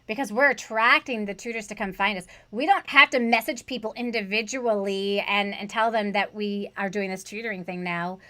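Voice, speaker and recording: A woman's voice: mid-range voice, normal speed, hardly any pauses, sharp tone. A woman hosting a casual conversation about online tutoring, earnest and chatty, asking rhetorical questions. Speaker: host, online tutoring coach.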